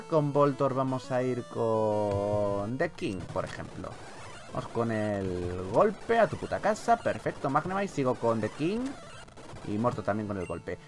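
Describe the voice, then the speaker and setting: A man from Spain with a Spanish accent giving casual, expressive, sweary let's-play commentary on a video game. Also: medium pitch. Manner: expressive, colloquial, swears freely. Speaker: gaming YouTuber, host.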